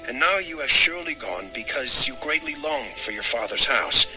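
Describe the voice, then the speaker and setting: A man's voice: mid-range voice, animated, moderate pace, natural voice, rough recording. Man playing an indignant old patriarch over music. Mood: stern, accusing. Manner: accusing, indignant, declamatory. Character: Laban, aggrieved stern father-in-law, older patriarch. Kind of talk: dramatized scripture acting.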